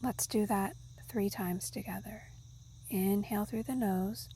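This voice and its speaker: A woman leads a yoga nidra practice in a soft, breathy voice that slips toward a whisper. Her delivery is very unhurried and soothing, with a warm, relaxed tone. Faint insect sounds sit behind her voice. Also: medium pitch, a little hiss or hum. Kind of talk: guided meditation narration.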